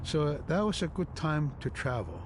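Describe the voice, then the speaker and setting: A man's voice: fairly high pitch, very animated, normal speed. A man reminiscing casually, as an interviewee in a documentary. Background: music.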